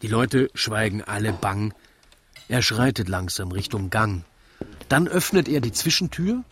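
A man narrating a radio play in rhymed verse, with measured, deliberate storytelling. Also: lowish voice, lively intonation, slight background noise. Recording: loud music under the voice. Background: loud music.

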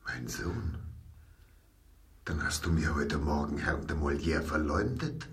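An actor playing an unctuous older archbishop in a radio play, paternal and measured with quiet menace. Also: male voice, rumbling low, heavily acted. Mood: unctuous calm. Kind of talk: German radio play acting. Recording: distant mic, faint noise.

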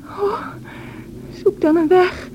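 Feverish, high-voiced young woman. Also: fairly even intonation, darkish tone, highs cut off. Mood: delirious, yearning. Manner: breathy, slow, anguished delivery. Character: feverish young married woman, wild, distraught, defiant. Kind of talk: radio drama acting. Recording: noisy old radio play recording.

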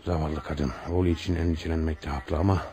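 An actor playing a stern, commanding police commissioner in a radio play, questioning suspects brusquely and with authority. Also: male voice, rumbling low, fairly even intonation, fast, dark tone. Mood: apologetic, firm. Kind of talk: radio theatre acting.

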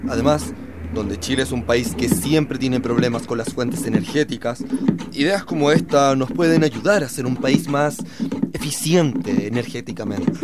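A man's voice: medium pitch, moderate pace, close to an everyday voice. A man acting a mildly stern, earnest family father in a scripted educational drama. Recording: loud background vehicle noise.

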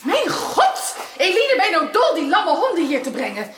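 An actress playing an irritable, domineering married sister in a period radio drama, scolding imperiously. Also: female voice, very high voice, huge pitch swings, normal speed, fairly bright tone, heavily acted. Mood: furious, scolding. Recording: noisy, echoing old radio recording.

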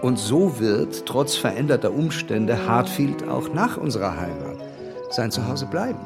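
An actor playing an earnest, tender English gentleman in love in a period radio play, gentle and measured. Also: mid-range voice, very animated, creaky, over loud music. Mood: earnest, devoted. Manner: gentle, measured, formally polite. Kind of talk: German radio play acting of period novel.

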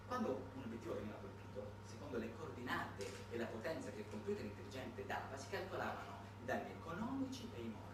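Casting: male stage monologist, very animated and dramatic, satirical storytelling; heavily echoing, distant recording. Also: medium pitch. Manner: dramatic delivery swinging between hushed and shouted. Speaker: stage monologist, writer-performer. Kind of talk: theatrical satirical narrative monologue. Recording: echoey, noisy recording from a distance.

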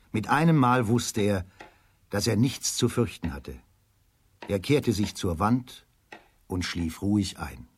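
Low, husky male storyteller. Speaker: narrator. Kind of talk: scripted storytelling narration for children.

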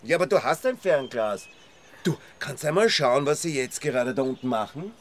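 A man's voice: medium pitch, expressive, normal speed, a little hiss or hum, natural voice. A man with an Austrian accent playing a chatty, casual villager in a radio drama.

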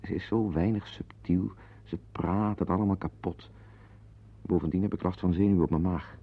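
A wry, grumbling husband in a radio play, low-voiced and gravelly. He is hesitant and apologetic, a nervous recluse, on a dull lo-fi recording. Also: steady tone, normal speed. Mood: wry, resigned. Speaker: radio play narrator and lead. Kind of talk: radio drama monologue and dialogue.

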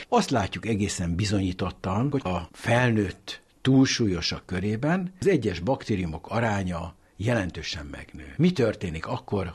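A man with a low, very husky voice speaks as an expert guest in an interview. He explains things emphatically and didactically, with lively swings in pitch.

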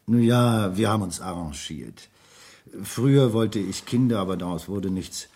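A man's voice: lowish voice, expressive, moderate pace, darkish tone, breathy edge. An actor playing a reserved, well-off married businessman with a formal, guarded way of talking in a 1970s German radio crime drama. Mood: subdued, guarded. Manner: formal, guarded, clipped answers. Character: reserved, well-off married Hamburg property broker under questioning.

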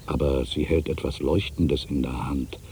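An actor playing an aging, weary writer in a literary monologue, brooding and wry, with a gravelly voice and deliberate, weighted pauses. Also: male voice, a bass voice, fairly even intonation, dark tone, a little hiss or hum. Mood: watchful, musing. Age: older.

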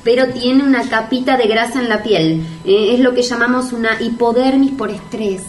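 An actress as a gushing beauty-counter promoter with a Buenos Aires accent, speaking in a fairly high voice. Her polite sales patter is effusive and full of repeats. She sounds a little away from the mic in an echoey space, in a comedy sketch. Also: normal speed, soft background music, close to an everyday voice. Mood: fussy, alarmed. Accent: Buenos Aires Argentine. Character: chatty, gushing, ingratiating female beauty-cream promoter. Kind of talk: comic radio sketch acting. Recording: distant, echoey, faint background noise.

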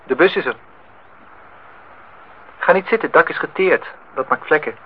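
A man's voice: fairly high pitch, normal speed, slight background noise, close to an everyday voice. An actor playing a middle-aged, well-off man in a radio play, nostalgic and dryly teasing in formal speech. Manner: formal address, dry teasing. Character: older well-off man, nostalgic, needling, courting host. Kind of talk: Dutch radio play acting. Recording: muffled, phone-like old radio recording.

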